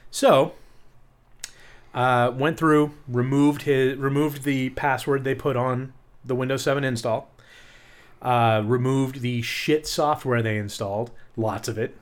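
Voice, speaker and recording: A man's voice: medium pitch, steady tone, measured pace. A man co-hosting a podcast in casual chat, talking in a sarcastic, profane, ranting way. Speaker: podcast co-host.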